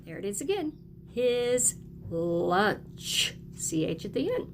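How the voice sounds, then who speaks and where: Animated woman teacher, slightly creaky. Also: medium pitch, takes it slowly, slight background noise. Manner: encouraging, simple short phrases with questions to children. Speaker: early elementary teacher. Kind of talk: read-aloud and lesson for young children.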